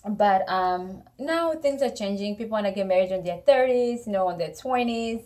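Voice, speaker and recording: An outspoken young woman from Congo talks through a relaxed couple conversation, firm about her views. She stays sassy and direct, with her pitch sitting in a middle range. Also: normal speed. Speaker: co-host. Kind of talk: casual couple chat.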